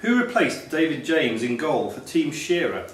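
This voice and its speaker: A man's voice: fairly high pitch, sing-song, moderate pace. A man reading out quiz questions as quiz master at a live quiz. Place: echoey hotel function room, live quiz. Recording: distant, very echoey room with background noise.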